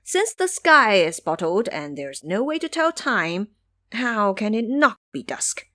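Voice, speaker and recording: A mischievous monkey trickster, played by a woman, talking with lively swings of pitch. He is confident and teasing, smoothly persuading his listeners in a fantasy audio drama. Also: a little slow. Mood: sly explaining.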